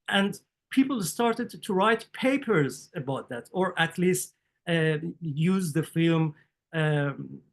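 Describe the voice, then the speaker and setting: Halting Persian-accented man, higher voice. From Iran. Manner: halting, many fillers and restarts. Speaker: documentary filmmaker, guest. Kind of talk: interview answers.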